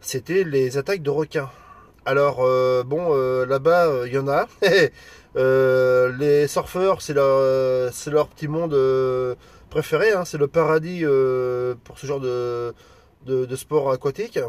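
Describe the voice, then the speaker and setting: A Frenchman chatting casually as an amateur radio operator, in halting, colloquial phrases. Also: medium pitch, clean tone, no room sound.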